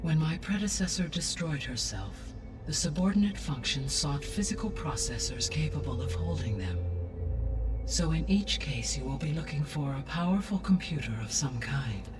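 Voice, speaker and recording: A man voicing a calm, precise artificial intelligence in a video game, with formal, measured, clipped wording. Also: fairly high pitch, recorded from a distance, music in the background, natural voice. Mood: measured, informative. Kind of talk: scripted video game dialogue.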